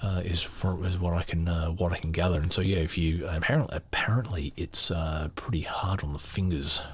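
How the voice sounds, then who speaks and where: A man's voice: deep voice, breathy edge, no echo. A man hosting a book-reading podcast, moving between reading aloud and informal, thinking-aloud commentary. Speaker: podcast host and book reader.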